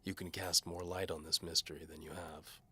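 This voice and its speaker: Very deep, forceful hardboiled mercenary played by a man. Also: level tone, a little fast, breathy edge, full character voice. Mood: insistent. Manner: wry, terse, hardboiled. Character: cynical, hard-bitten male mercenary bowman narrating his case. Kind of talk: scripted first-person noir fantasy narration.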